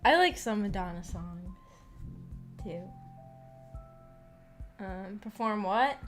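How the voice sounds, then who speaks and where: A woman's voice: mid-range voice, animated, slow pace, a bit bright. A woman streamer chatting casually and telling personal anecdotes in a giggly, self-deprecating way. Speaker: streamer hosting a visual novel book club. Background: background music.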